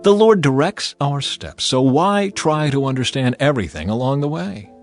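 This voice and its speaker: A man reading scripture as scripted dramatized narration, in a measured, emphatic and expressive way. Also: mid-range voice, faint music behind. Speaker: audiobook Bible narrator.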